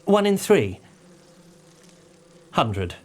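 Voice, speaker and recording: An actor playing an eager, nerdy insect scientist in an earnest, precise and enthusiastic way for a comic audio drama. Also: male voice, medium pitch, very animated, drawn-out words, darkish tone, heavily acted. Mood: earnest scheming.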